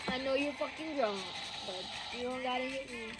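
High-pitched woman chanting defiantly over music. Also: moderate pace, poor audio. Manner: repetitive, defiant declarations in short phrases. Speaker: amateur singer and vlogger. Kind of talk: improvised karaoke-style singing and chanting.

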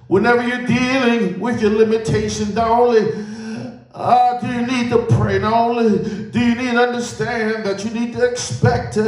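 A man's voice: very high-pitched, a little mellow, far from the mic. A man preaching a sermon in an emphatic, repetitive style, punctuating his phrases with devout interjections. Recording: echoey room, picked up from afar.

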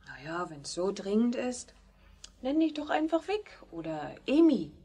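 A woman's voice: fairly high pitch, big pitch swings, measured pace, dark tone, husky, heavily acted. A woman playing an easygoing, helpful neighbour in a children's radio play, casual and chatty.

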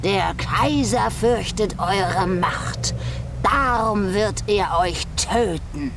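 A woman playing an ominous fortune-teller in a fantasy game, foretelling doom in portentous, halting phrases. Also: medium pitch, background noise. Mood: ominous, certain. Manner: slow, portentous, halting delivery. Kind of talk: dubbed fantasy game cutscene acting.

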